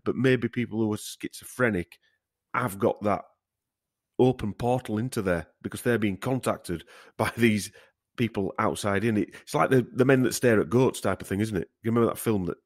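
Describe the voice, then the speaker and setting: Low-pitched man chatting casually. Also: no echo, studio quality. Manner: rambling, ends points with tag questions. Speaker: podcast host. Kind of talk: casual opinionated chat.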